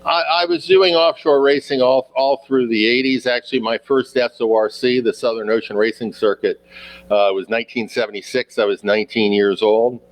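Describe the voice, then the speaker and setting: Halting man in his sixties. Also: medium pitch, steady tone, low-quality recording. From United States. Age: 60s. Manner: halting, many fillers and repeated words. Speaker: guest sailor. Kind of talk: casual interview answers.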